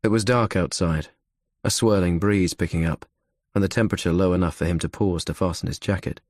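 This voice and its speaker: A man's voice: low-pitched, steady tone, a little fast, a little mellow, dry recording, studio quality. A man narrating a suspense audiobook in the third person.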